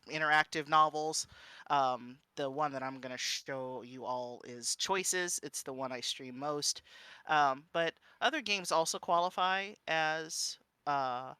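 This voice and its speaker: A man presenting as a streamer in an informal instructional style, halting and often interrupting himself with "you know". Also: on the high side, bright, forceful tone, clear, resonant tone, no room sound.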